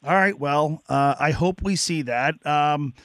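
A man hosting a sports radio show in a relaxed, casual way, with easy filler words. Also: on the high side, measured pace, dry recording. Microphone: studio microphone.

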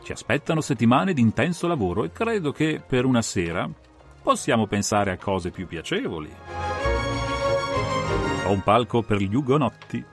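A man's voice: medium pitch, very animated, normal speed, loud music behind the voice. A man playing a composed, analytical detective who lays out a case with assured, methodical, theatrical phrasing in a dramatised audiobook. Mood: relaxed, genial.

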